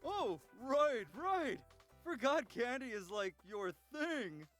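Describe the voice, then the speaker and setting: A man's voice: very high voice, very animated, slow, a bit bright, full character voice. A man voicing an eager, awkward schoolboy in a visual novel, casual and slangy. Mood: eager, admiring. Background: faint music.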